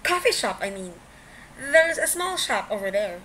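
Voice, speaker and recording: A woman's voice: on the high side, big pitch swings, moderate pace, bright, forceful tone, slight background noise, low-quality recording. A woman voicing an eager but sheepish, awkward young man in an amateur audio story.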